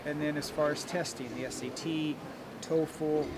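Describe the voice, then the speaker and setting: A man who is a college golf coach, answering interview questions in a plain, steady, encouraging way. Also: a little high, measured pace. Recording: noisy outdoor recording.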